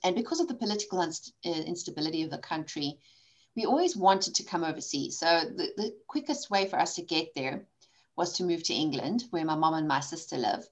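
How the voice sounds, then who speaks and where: A woman in her forties from South Africa, a guest in a conversational interview, telling her story candidly with quick asides. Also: low-pitched, expressive. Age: 40s. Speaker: podcast guest, coach and author.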